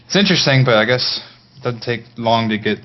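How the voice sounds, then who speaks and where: A man's voice: medium pitch, animated, brisk, highs cut off, slight background noise. A man from Maryland on a gaming podcast, talking in a laid-back, mumbling way with many fillers. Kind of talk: casual chat.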